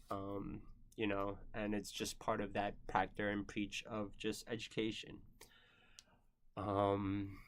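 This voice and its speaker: A man in his thirties, born in the Philippines and not a native English speaker, rambling through a casual personal monologue with many fillers and some swearing. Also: lowish voice. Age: 30s. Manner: rambling, filler-heavy, frequent "you know" and "um". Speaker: creator answering viewer questions.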